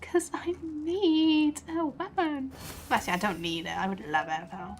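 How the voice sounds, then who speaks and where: A woman chats through a live game stream in a slightly high voice. She takes her time, thinking aloud informally, with faint game music playing behind her. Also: unhurried. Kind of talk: casual live gaming stream commentary.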